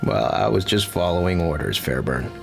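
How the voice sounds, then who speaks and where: A man's voice: sits low, animated, moderate pace, exaggerated voice. A man playing a friendly, grateful army lieutenant in a war game, talking amiably. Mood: grateful, modest. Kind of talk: cinematic war game dialogue. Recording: clear music under the voice.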